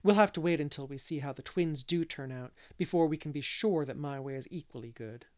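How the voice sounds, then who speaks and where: An earnest, thoughtful young schoolteacher , as a reader voices her. She speaks calmly and sincerely, reassuring rather than dramatic, close to the reader's own voice. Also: mid-range voice, fairly quick, close to an everyday voice. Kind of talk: audiobook character reading.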